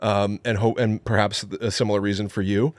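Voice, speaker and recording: American guy cohosting a podcast, deepish voice, really into it, dry room sound. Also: little pitch movement, fairly quick, dry recording. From New England, United States. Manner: enthusiastic, emphatic. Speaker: podcast co-host. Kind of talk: casual chat about a film.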